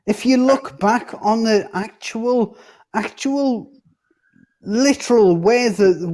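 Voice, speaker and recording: A man's voice: sits high, warm tone. A man in a heated casual debate, speaking insistently, cutting in and swearing freely.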